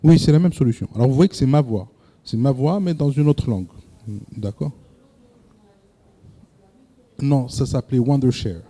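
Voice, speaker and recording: Very dark-voiced man presenting, halting. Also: medium pitch, expressive. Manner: hesitant, repeats words and says euh often; addresses the audience directly with questions. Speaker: workshop presenter. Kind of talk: lecturing to a live audience.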